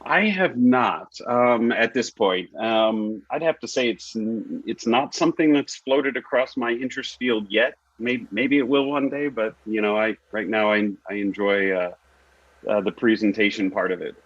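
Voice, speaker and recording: A man giving casual interview answers as a guest actor, in measured, hesitant, slightly wordy phrases. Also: on the low side, a bit bright, no echo. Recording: dry, dull lo-fi sound.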